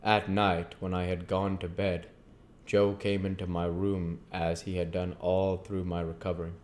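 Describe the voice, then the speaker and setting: A male narrator with a low voice reads literary prose aloud. He delivers it in an audiobook style as the host of the reading. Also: level tone, a little slow, darkish tone.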